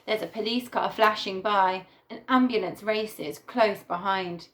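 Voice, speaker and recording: A woman teacher reading a picture book aloud to young children in a deliberate, animated storytelling way. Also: mid-range voice. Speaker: primary school teacher reading to her class.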